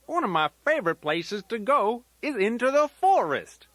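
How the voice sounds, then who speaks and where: Lively, high-pitched old grandpa bear. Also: male voice, moderate pace, no echo, exaggerated voice. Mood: gently teasing. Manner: folksy, rambling, sing-song rhyming asides. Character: folksy, playful old grandfather teddy bear, fond of rambling. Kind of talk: scripted children's toy storytelling tape.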